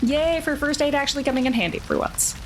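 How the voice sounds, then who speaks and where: A woman's voice: high-pitched, fast, a loud crowd, poor audio. A woman playing a tabletop game, chatting casually and describing her character's actions with playful, wry remarks.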